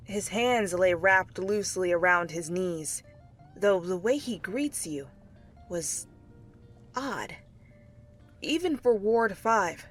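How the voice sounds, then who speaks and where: A woman reading fan fiction aloud as an expressive second-person narrator in an ASMR style. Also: mid-range voice, slow pace, pauses often. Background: faint music.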